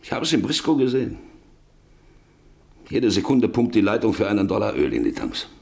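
Casting: domineering middle-aged farmer father, radio play, lowish pitch, highly animated delivery, money-hungry and bossy. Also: fairly quick, vocal fry. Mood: feverishly obsessed. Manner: commanding, cutting others short. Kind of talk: German radio drama acting.

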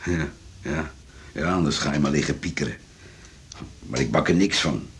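Husky, deep-voiced grumbling old seaman. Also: normal speed, a bit bright, heavily acted. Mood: gruff, playful. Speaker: actor in a radio drama. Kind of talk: radio drama acting.

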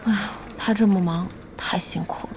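An actress playing a lively, fiery young female internet cafe boss in a Chinese audio drama, speaking in exclamations. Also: medium pitch, normal speed, crowd noise, lightly acted. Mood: eager. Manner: exclamatory, expressive. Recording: music behind the voice.

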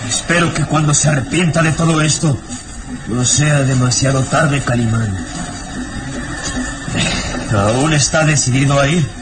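An actor playing a grim, nervous hunter who gives warnings in a radio drama. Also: male voice, mid-range voice, unhurried, pauses often, noisy background, close to an everyday voice.

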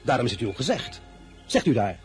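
A man playing a smooth ambitious young general. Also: mid-range voice, very animated, fast, soft background music, natural voice. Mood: persuasive. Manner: courteous, coaxing and self-assured. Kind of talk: 1950s Dutch radio drama acting.

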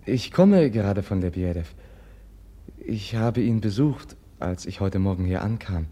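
An actor in a 1950s radio play voicing a gentle, earnest young prince who asks sincere questions. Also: male voice, on the low side, expressive, measured pace. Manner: soft, sincere, searching questions. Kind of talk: 1950s radio drama acting. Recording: old radio play, husky tone.

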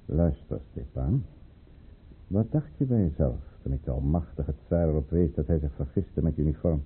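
Silky conspirator of high rank, husky, very varied intonation, formal and probing, thin old radio-play sound. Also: male voice, expressive, heavily acted. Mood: probing, coaxing. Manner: measured, probing, formal and insinuating. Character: scheming, silky high-ranking male courtier conspiring against the tsar. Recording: muffled, narrow-band old radio recording.

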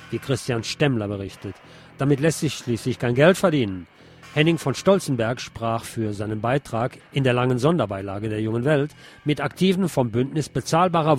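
A man's voice: mid-range voice, a little mellow. A man hosting a radio programme, reading a scripted political commentary. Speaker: radio host.

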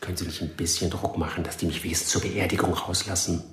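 A man's voice: low voice, level tone, quick pace, close to an everyday voice. A man playing a surly, blunt prisoner in a radio crime drama, curt, defensive and colloquial, in an echoey room. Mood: pressing. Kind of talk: naturalistic radio drama acting.

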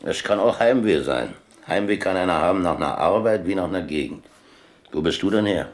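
An actor playing a blunt, gruff ship captain held in prison in a German radio play, speaking in a dry, colloquial way. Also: male voice, deep voice, lively intonation, a little fast. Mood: dry, laconic. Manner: dry, laconic, colloquial, demanding. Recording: echoey room, clearly noisy older recording.

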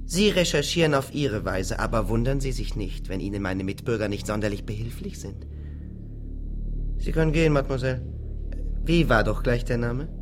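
An actor playing a condescending provincial police lieutenant in a radio crime drama, mock-polite with veiled menace. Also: male voice, mid-range voice, animated, normal speed, soft background music, close to an everyday voice. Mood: mocking, menacing.

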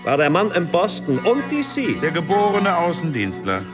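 A boastful, hyped ex-salesman exclaims slogans in a radio drama. His voice is high and swoops wildly, with music clearly behind it. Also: huge pitch swings, moderate pace. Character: hyped male ex-salesman boasting in business jargon. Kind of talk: radio play.

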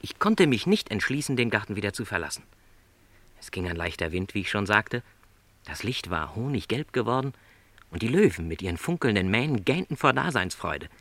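A man's voice: fairly low pitch, very animated, brisk, fairly bright tone, no echo, exaggerated voice. An actor playing a lonely, dreamy middle-aged travelling salesman in a radio drama, speaking in reflective, rhapsodic literary phrases. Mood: elated, rapt.

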